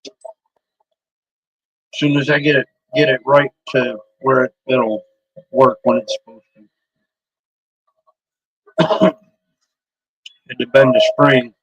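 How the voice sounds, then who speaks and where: A man's voice: mid-range voice, flat delivery, takes it slowly, long pauses, fairly bright tone, rough recording. A man rambling casually about his CB radio microphones, swearing freely and often restarting his sentences. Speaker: CB radio hobbyist streamer. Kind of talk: casual rambling show-and-tell.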